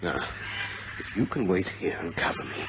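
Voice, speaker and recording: A man's voice: deep voice, expressive, moderate pace. A man playing a hard-headed, impulsive man of action in an old radio adventure drama. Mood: tense watchfulness. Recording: muffled, noisy vintage radio recording.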